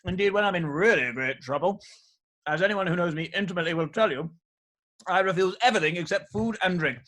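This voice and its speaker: A man's voice: high voice, fast talker, fairly bright tone. A man acting an unflappable young dandy in a Victorian stage comedy, airy, glib and mock-serious. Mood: airy, flippant.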